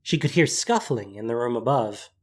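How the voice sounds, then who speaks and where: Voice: mid-range voice, lively intonation, moderate pace, darkish tone. A narrator reading scripted prose for an audio drama. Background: music.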